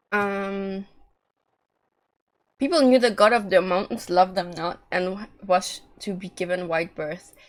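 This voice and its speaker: A woman's voice: mid-range voice, steady tone, slow, long pauses. A woman streaming a video game live, speaking informally and bluntly, sometimes reading aloud.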